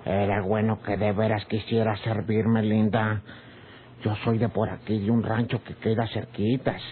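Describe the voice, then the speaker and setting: Actor as sly, slow-talking Mexican ranch rogue, muffled. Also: male voice, on the low side, little pitch movement, natural voice. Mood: teasing, flirtatious. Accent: rural northern Mexican. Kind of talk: old-time radio drama acting. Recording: muffled, noisy old radio recording.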